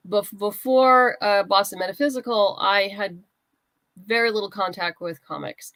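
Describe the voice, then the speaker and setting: A woman's voice: medium pitch, a bit bright, no room sound. A woman speaking casually as a guest writer in an interview, explaining her creative process and acting out little asides.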